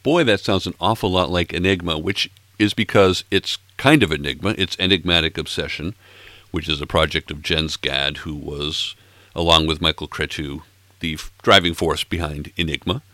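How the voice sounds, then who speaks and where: A man in his late sixties hosting a music podcast in casual chat, a little hoarse, with playful self-mocking asides. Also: deep voice, bright, forceful tone, dry recording. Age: 60s. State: slightly hoarse, froggy throat. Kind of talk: casual chat between tracks.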